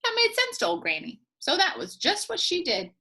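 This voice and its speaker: A woman reading a children's picture book aloud in a playful, expressive way, as a teacher does for young listeners. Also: very high voice, normal speed, vocal fry. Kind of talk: animated children's storybook read-aloud.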